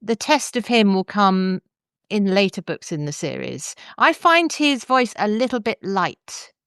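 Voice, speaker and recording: A woman hosting a conversational review, talking in a measured, thoughtful way. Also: mid-range voice, very animated, fairly bright tone, smooth, dry recording, clean studio sound. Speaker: podcast host.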